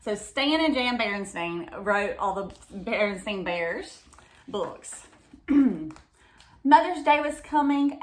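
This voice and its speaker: A woman reads a picture book aloud to young children in an expressive way, pausing to explain and ask them simple questions. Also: medium pitch, echoey room. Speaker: read-aloud storyteller for children.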